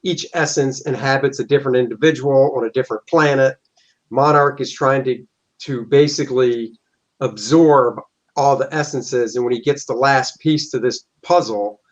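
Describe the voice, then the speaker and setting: A man's voice: medium pitch, normal speed. Easygoing middle-aged man, dull lo-fi audio. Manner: easygoing, explains at length with fillers. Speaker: comic book artist guest. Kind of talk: casual interview answers.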